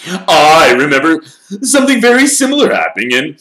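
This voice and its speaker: Pompous, high-pitched vain wizard. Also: male voice, big pitch swings, a little fast, pushed and bright, roomy sound. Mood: boastful. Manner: pompous, showy. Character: vain, boastful, self-important male celebrity wizard.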